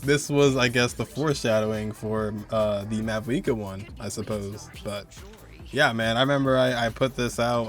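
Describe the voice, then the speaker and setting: A man giving casual, slangy, conversational commentary as host of a video game countdown. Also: lowish voice. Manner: slangy, conversational, chuckling asides.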